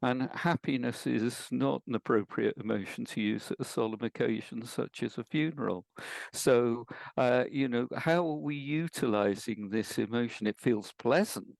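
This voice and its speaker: Gravelly older Englishman explaining calmly. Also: mid-range voice, dry recording. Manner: measured, explanatory, builds points with examples. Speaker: guest, emotional intelligence coach. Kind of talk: podcast interview, explaining.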